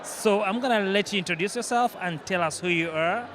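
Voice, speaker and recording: A high-pitched man conducts quick interviews outdoors at an event. He talks fast, with brisk, teasing questions.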